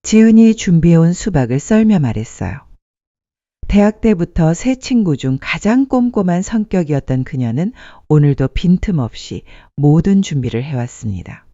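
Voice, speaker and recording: Mellow, expressive female storyteller. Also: lowish voice, moderate pace, dry recording, highs cut off. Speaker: narrator of a story channel. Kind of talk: scripted sentimental storytelling narration.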